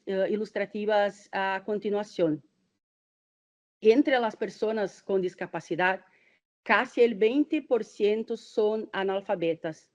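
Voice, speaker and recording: A woman's voice: mid-range voice, darkish tone. Brazilian woman reading a thesis talk. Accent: Brazilian Portuguese. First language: Portuguese. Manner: careful, measured reading with occasional hesitations. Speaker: doctoral candidate presenting a thesis. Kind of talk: reading a prepared academic presentation.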